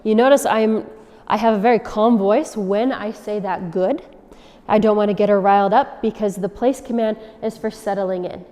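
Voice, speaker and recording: A woman dog trainer calmly explaining and demonstrating commands step by step. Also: medium pitch, moderate pace. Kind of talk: instructional demonstration. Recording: long reverb from a large room.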